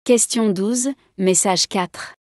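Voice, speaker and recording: A woman's voice: medium pitch, slow pace, a little mellow. A woman reading scripted exam announcements as a test narrator.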